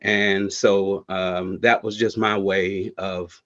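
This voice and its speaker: A middle-aged man with a fairly low voice answers a question at a public meeting. He is wordy and halting, full of fillers and restarts. The recording sounds muffled. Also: level tone, a bit bright. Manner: verbose, halting with many fillers. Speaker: incumbent candidate.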